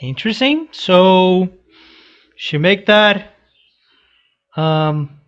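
Slow-talking, higher-pitched young man. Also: lively intonation, fairly bright tone, clear, resonant tone, lo-fi sound. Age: young adult. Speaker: reaction video host. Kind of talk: casual read-along commentary on a comic. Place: small room indoors. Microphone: headset boom mic.

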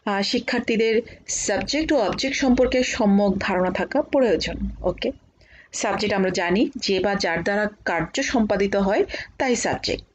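A woman with a Bangladeshi accent giving an explanatory grammar lecture as a college teacher, speaking haltingly with frequent filled pauses. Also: mid-range voice, darkish tone, slightly rough. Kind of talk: explanatory online lecture with examples.

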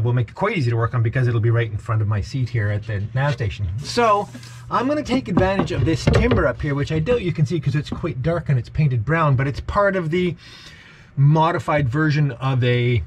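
A man in his forties vlogging casually, thinking aloud as he works on his wooden boat, filming himself up close with a handheld camera. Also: medium pitch. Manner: chatty, thinking aloud while working. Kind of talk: casual how-to vlog. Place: inside a wooden boat, outdoors at a marina, in a truck. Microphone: handheld action camera, close. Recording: clear background noise.

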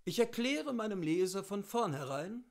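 A man reading classic literature aloud as a host, with deliberate, theatrical phrasing and weighty pauses. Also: sits high, big pitch swings, vocal fry. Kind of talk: expressive literary reading, scripted narration.

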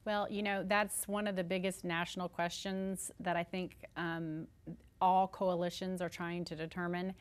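A woman's voice: on the low side, level tone, normal speed, clear, resonant tone. A middle-aged woman speaking earnestly and emphatically as an interview guest. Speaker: guest author and homeless advocate. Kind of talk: conversational TV interview. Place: furnished living-room style TV studio set.

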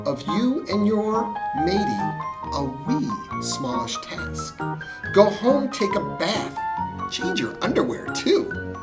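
A man's voice: sits high, sing-song, slow, voice pushed up, heavily acted. A man voicing a prim, bold woman librarian in a storybook, firm and scolding. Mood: bossy. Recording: music playing behind the voice.

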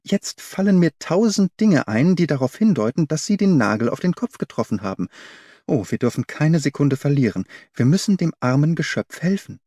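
A man's voice: on the high side, sing-song, moderate pace, dark tone, no echo, studio quality. A man voicing an earnest, anxious young governess in an audiobook, speaking eagerly and emphatically.

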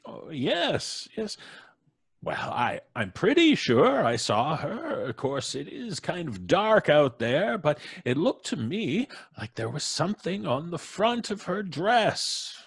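An actor playing a doddering, nervous, kindly old judge in an old-time radio horror play, stammering and hesitant. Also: male voice, on the high side, very animated, slow pace, gravelly, slightly rough, no echo, clean studio sound, heavily acted. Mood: nervous, uncertain. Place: home studio on a video call. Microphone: close studio microphone.

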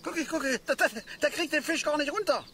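A man's voice: extremely high pitch, fast talker, bright, forceful tone, slight background noise, heavily acted. An actor playing a cantankerous, opinionated middle-aged father and angler in a radio play, lecturing and easily worked up. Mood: agitated, ranting. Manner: blustering, lecturing, repeats words in agitation. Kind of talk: naturalistic radio play acting.

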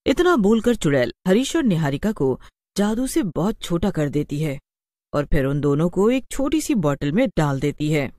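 A woman reading scripted story narration for an animated tale. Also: low voice, normal speed.

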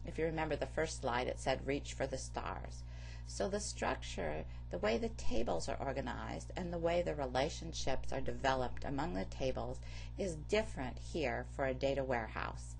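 A woman with a low, deep-set voice lectures through a slide presentation. Her delivery is steady and explanatory, the pace of a course instructor. A faint electrical hum sits under the recording. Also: gravelly, poor audio. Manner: measured, explanatory. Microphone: voice-over over slides.

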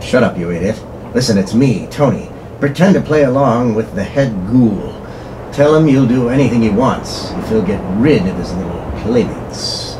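A man's voice: medium pitch, expressive, moderate pace, lightly acted. Man playing a desperate, swooping pulp hero. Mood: urgent, determined. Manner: earnest, melodramatic. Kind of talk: old-time radio horror acting. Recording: clearly noisy recording.

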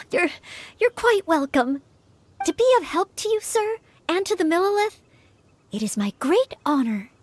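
Very high-voiced, polite young maid. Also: female voice, expressive, unhurried, no room sound. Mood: humble. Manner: polite, humble, demure. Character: earnest, gentle young maid aspiring to be a knight. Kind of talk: anime-style video game voice acting.

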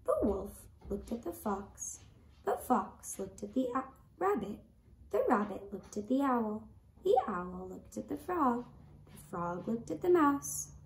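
A woman telling a children's puppet story in a deliberate, expressive way, recorded from a few feet away indoors. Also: fairly high pitch. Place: indoor room set up as puppet stage. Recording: roomy echo, faint background noise.

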